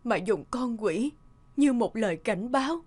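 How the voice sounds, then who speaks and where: A woman playing a gentle, remorseful mother confiding in her son in an animated family drama, soft and earnest. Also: fairly high pitch, unhurried, husky. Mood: reflective, regretful.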